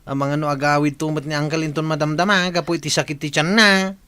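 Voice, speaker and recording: Bright-voiced whining villager. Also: male voice, on the high side, fairly even intonation, quick pace, clean tone. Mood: worried. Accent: Ilocano Philippine. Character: embarrassed, whining villager with an upset stomach. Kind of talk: comedic radio drama acting.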